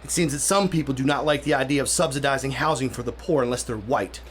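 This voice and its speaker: An actor playing a smooth, evasive 1930s city planner in a radio drama, speaking in a polite, placating, measured way. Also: male voice, mid-range voice, a little hiss or hum, natural voice. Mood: confiding, placating. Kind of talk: period radio drama acting.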